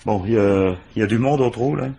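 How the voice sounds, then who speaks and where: An actor playing a gruff, paternal, world-weary police commissioner in a French radio crime drama, muttering colloquially with dry irony. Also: male voice, on the low side, a little fast, mellow. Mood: dry, musing. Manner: colloquial, muttering, dryly ironic.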